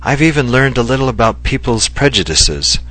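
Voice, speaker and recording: A man voicing a gentle, loving Japanese wife who speaks earnestly and reflectively. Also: mid-range voice, animated, normal speed, voice pushed up, low-quality recording. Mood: earnest, tender. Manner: earnest and heartfelt, softly reflective.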